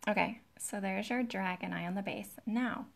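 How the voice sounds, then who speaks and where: A woman hosting a live nail tutorial in a chatty, relaxed way, with a soft, breathy, soothing voice that often drops near a whisper, close to the microphone. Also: mid-range voice, moderate pace. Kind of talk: chatty live-stream demonstration, greeting viewers. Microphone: close to the camera's microphone.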